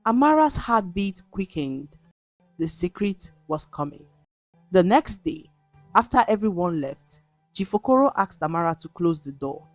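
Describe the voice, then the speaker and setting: A woman narrates a scripted folktale as a dramatic storyteller. Her pace is unhurried and her pitch moves widely, recorded dry with soft music behind. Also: big pitch swings, dry recording.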